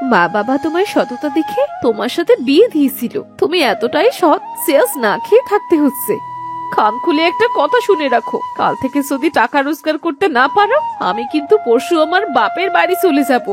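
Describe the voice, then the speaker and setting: A woman playing a sharp-tongued poor young village wife in a cartoon, scolding and complaining. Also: high voice, sing-song, moderate pace, over music. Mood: exasperated, scolding. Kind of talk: Bengali fairy-tale cartoon dubbing.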